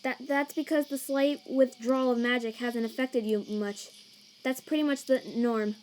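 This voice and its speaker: Woman playing a stammering, high-voiced monster scientist. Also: steady tone, a little mellow, slight background noise, close to an everyday voice, rough recording. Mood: earnest, reassuring. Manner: stammering, hesitant, halting. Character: nervous female monster scientist, earnest and anxious. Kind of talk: fan comic dub acting. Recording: chirping crickets and night ambience behind.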